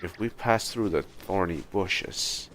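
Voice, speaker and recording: A man's voice: low-pitched, slow, a bit bright, slight background noise, rough recording. A man livestreaming a game with casual, laid-back, musing commentary.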